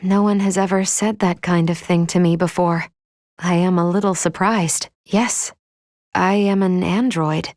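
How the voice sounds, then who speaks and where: A woman's voice: on the low side, fairly even intonation, darkish tone, no echo, studio quality. Polite, precise female android. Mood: modest, surprised. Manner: formal, precise, measured phrasing. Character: polite, earnest female android crew member. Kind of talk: video game voice acting.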